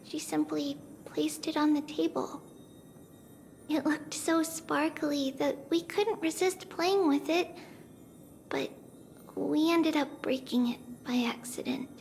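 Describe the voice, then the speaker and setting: High-pitched, wistful little demigod girl. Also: creaky, a little mellow, slight background noise, full character voice. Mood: tender, sorrowful. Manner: unhurried, reflective, speaks of herself as "we". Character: small childlike demigod girl, wistful, gentle, older than she looks. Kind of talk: scripted video game character acting. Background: background music.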